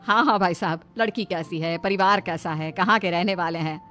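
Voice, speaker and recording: A woman's voice: fairly low pitch, a little fast, fairly bright tone, soft background music, close to an everyday voice. A woman voicing a harried middle-aged housewife in a cartoon story, deferential and plaintive. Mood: eager.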